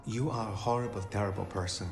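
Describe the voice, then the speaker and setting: A man's voice: fairly low pitch, steady tone, fast, creaky, roomy sound, soft background music. A man delivering an insulting, sarcastic comedic rant. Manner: ranting, insulting.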